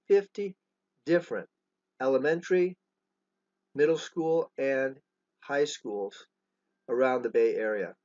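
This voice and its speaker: An older man presenting his training program to schools in an earnest way, pausing and restarting often. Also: a little high. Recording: dull lo-fi recording.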